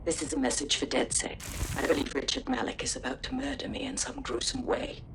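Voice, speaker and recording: A woman playing a fearful, doomed character leaving a grim, breathy last message in a video game, on a distant, noisy recording. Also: deep voice, big pitch swings, fairly quick, exaggerated voice.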